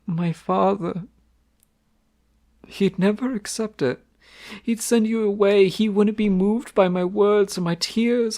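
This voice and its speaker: A man playing a slow, shy, lovestruck prince. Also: high-pitched, gravelly, full character voice. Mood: bitter distress. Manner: soft, intimate, often whispered. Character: shy young male crown prince, tender and lovestruck. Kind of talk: romantic audio roleplay.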